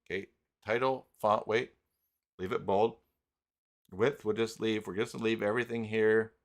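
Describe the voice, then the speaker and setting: A man hosting an unscripted live software tutorial, talking haltingly as he thinks aloud while working. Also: on the low side, fairly even intonation. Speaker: host of live tutorial stream.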